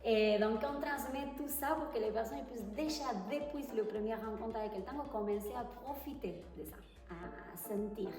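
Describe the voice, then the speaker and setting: Argentine tango teacher as interview guest, Spanish-accented and halting, heard distantly in a roomy space with faint music under her. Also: a little high. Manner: hesitant, pauses to search for French words. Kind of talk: casual interview answers. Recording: echoey hall, far from the mic.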